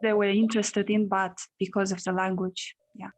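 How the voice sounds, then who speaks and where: A woman's voice: medium pitch, flat delivery, normal speed. A woman asking a question on a video call, hesitant and often restarting her phrases. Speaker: audience member asking a question. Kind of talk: online Q&A question.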